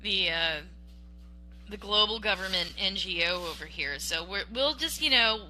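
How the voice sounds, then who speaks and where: A woman with a very bright, ringing voice argues her point on a podcast. She talks emphatically and animatedly, often restarting mid-sentence and checking in with "okay?". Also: medium pitch, lively intonation, moderate pace, rough recording. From Western Washington. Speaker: podcast co-host. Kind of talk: casual political debate.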